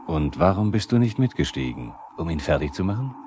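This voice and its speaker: An actor playing a sober, probing narrator who addresses the characters in a 1970s radio drama. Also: male voice, low voice, big pitch swings, brisk, darkish tone. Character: probing male narrator, the characters' inner questioner. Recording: noisy 1970s vinyl radio play.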